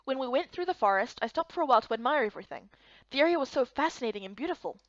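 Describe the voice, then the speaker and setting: A woman narrates a story game in a fairly high, very animated voice. The recording is dry and a little dull. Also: rapid-fire, dry recording. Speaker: voice-over reader of a visual novel game.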